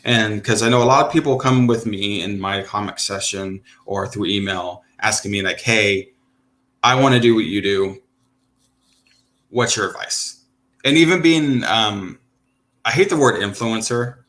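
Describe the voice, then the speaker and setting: A man's voice: fairly low pitch, measured pace, fairly bright tone. A man in his thirties hosting a podcast, talking in a loose, chatty way with many fillers and restarts. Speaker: podcast host. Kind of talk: casual chat.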